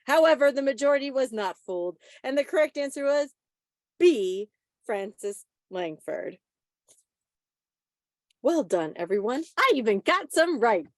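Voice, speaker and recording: A woman's voice: high-pitched, big pitch swings, unhurried, pauses often, dry recording, studio quality. A woman hosting a quiz on a video call, reading out questions and answers. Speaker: quiz presenter.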